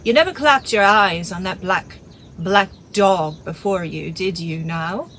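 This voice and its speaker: A woman reading an audiobook, voicing a crafty, blustering one-legged male pirate cook with loud sea-dog talk. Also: on the low side, measured pace, slight background noise.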